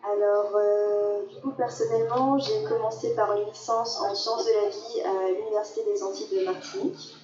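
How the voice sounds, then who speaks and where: A young woman, a master's student, presenting her path in a measured way with fillers. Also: medium pitch, steady tone, mellow, slight background noise. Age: young adult. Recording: dull roomy sound from far off.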